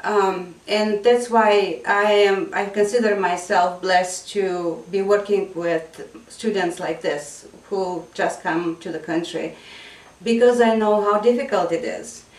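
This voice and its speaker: A woman's voice: mid-range voice, steady tone, takes it slowly. Woman guest, echoey distant sound. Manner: earnest, reflective, with filler ums. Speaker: English teacher for immigrants and refugees. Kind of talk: interview guest, casual. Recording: echoey room, voice a bit off-mic.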